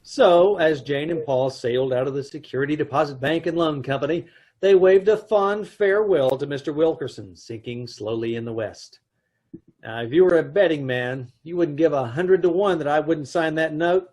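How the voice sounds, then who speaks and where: A man's voice: a little high, normal speed, a little mellow, natural voice. A man playing a wry middle-aged husband who narrates an old-time radio comedy. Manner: dry, wry storytelling.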